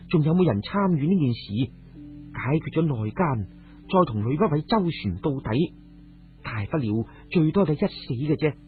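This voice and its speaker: A man's voice: fairly high pitch, close to an everyday voice. A man voicing a resolute, plain-spoken young swordswoman in a radio story. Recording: muffled phone-like radio audio, music behind.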